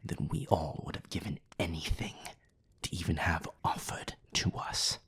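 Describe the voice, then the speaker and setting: A man voicing a haughty, coolly disdainful male vampire, sardonic and formal, in a hushed, breathy audio roleplay performance. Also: sits low, normal speed, in a whisper, exaggerated voice. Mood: contemptuous. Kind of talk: dramatic audio roleplay acting.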